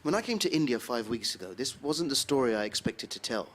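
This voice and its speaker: An actor playing an earnest young British radio journalist, speaking haltingly into a recorded diary in an audio drama. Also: male voice, mid-range voice, very animated, a bit bright. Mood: grave, troubled.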